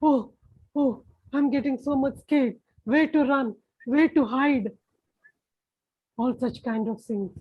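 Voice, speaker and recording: A woman with an Indian accent giving a personal religious testimony as an interview guest, with halting, repetitive phrasing. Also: high voice, husky, phone-quality sound.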